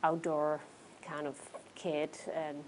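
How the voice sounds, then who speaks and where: A woman in her forties from the Czech Republic with a Czech accent, relaxed as an interview guest, sprinkling in fillers. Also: low-pitched, takes it slowly, a little hiss or hum. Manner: relaxed, many fillers like "you know" and "um". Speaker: interview guest, pro cyclist. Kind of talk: casual interview answers. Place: small studio with curtains, indoors.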